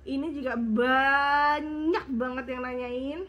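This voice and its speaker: A woman's voice: high voice, steady tone, slow, muffled recording, a little hiss or hum. A young woman vlogger with a congested, slightly nasal voice, chatting casually through viewer questions and a little short of breath. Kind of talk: casual Q and A chat.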